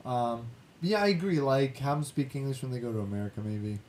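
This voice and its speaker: A man's voice: lively intonation, fast, a loud crowd. A man hosting a casual film discussion podcast, thinking aloud in a meandering, hesitant way. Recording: clear background noise with low rumble.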